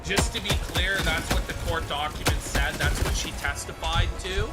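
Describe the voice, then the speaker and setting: A man's voice: fairly high pitch, moderate pace, loud music behind the voice. A man on a panel pushing back with pointed, skeptical remarks about documents. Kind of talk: casual panel debate.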